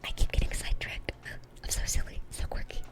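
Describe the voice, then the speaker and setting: A woman's voice: fast talker, natural voice. A woman playing a dorky, eager young demon in a whispered ASMR roleplay, rambling sweetly and often repeating her words. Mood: earnest rambling.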